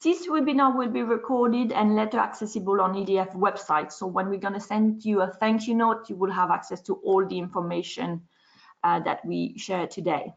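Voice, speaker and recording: A woman moderating an online webinar, talking quickly with frequent fillers as she introduces the program and speakers. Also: medium pitch, fairly even intonation, gravelly. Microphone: video call. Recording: dull lo-fi call audio.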